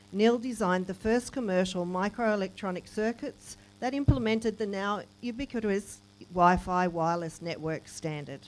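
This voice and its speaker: A woman's voice: mid-range voice, rough recording. A woman, a councillor formally reading out a guest's profile at a ceremony. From Australia.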